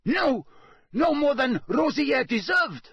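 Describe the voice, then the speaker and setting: High, panicky, grovelling prisoner. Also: male voice, sing-song, a bit bright, breathy edge, no room sound, clean studio sound, heavily acted. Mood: panicked. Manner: breathless, hurried, stammering, ingratiating. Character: panicky, unctuous male prisoner pleading to officials.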